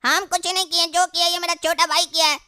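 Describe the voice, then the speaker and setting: A woman's voice: very high-pitched, flat delivery, brisk, raised voice, heavy background noise. A woman voicing a whiny, cowardly cartoon boy, a self-serving older brother. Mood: pleading. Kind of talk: comedy cartoon voice acting.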